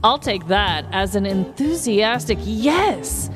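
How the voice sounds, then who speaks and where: A woman's voice: medium pitch, very animated, slow, music in the background. A woman playing a bossy, chirpy dentist in a comic podcast sketch.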